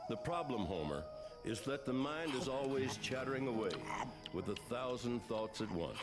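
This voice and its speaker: A man voicing a wise, cryptic animal spirit guide in a cartoon, speaking in a grave, deliberate, oracular way. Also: mid-range voice, animated, lightly acted. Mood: solemn teaching. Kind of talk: animated comedy acting. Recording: loud music behind the voice.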